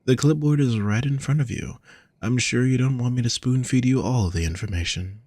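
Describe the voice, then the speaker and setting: A man playing a gentle, teasing male demon companion in a roleplay audio, speaking courteously with genteel endearments to the listener. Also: mid-range voice, normal speed, dry recording, studio quality, lightly acted. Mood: coaxing, playful.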